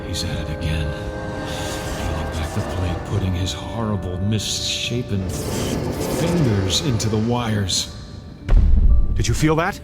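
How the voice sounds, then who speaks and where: An actor playing a nervous, suspicious airline passenger in a tense, clipped, defensive way in a vintage-style radio drama. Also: male voice, fairly low pitch, takes it slowly, pauses often. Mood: dread, muttering. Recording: radio drama with loud music behind.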